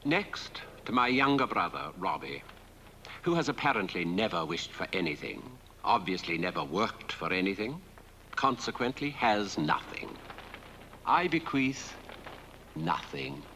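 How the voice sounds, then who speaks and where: An actor playing a suave, sardonic lord who delivers a film monologue with formal, mock-affectionate deliberation. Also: male voice, low voice, big pitch swings, a little slow, sharp tone, a little hiss or hum, full character voice. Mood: smug, sardonic. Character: sardonic, suave dead lord reading his own will.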